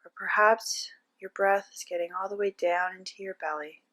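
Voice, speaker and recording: A woman narrating a guided meditation as a teacher, giving gentle step-by-step instructions with frequent pauses. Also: mid-range voice, fairly even intonation, low-quality recording.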